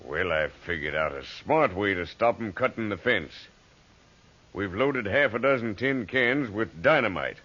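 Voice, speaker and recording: An actor playing a scheming, gloating western swindler with folksy taunts, in old-time radio acting. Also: male voice, on the low side, animated, a little slow, pushed and bright, full character voice. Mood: sly scheming. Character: scheming, sneering male western villain, gloating land swindler. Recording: muffled old radio recording.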